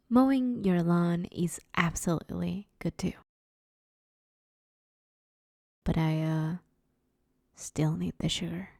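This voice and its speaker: Mellow, awkward villain woman played by an actress. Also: fairly low pitch, gravelly, no echo, clean studio sound, exaggerated voice. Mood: sheepish, evasive. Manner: awkward, wheedling, hesitant conversational delivery. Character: broke, sheepish but scheming villain woman begging her neighbor. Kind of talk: roleplay audio drama, one-sided conversational comedy.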